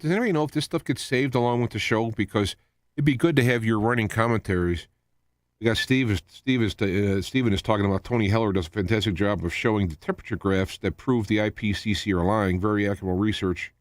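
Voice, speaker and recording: A man hosting a live talk show, rambling in a halting way as he wanders from point to point. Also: on the low side, no echo, studio quality. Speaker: radio show host.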